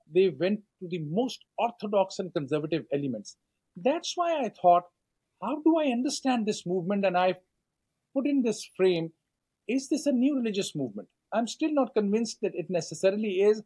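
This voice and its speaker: A middle-aged man with a Pakistani accent answers at length in a measured, lecturing way, pausing on fillers and restarting phrases. His voice sits high for a man, with a mellow, dark tone. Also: big pitch swings, slightly rough. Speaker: author and scholar guest.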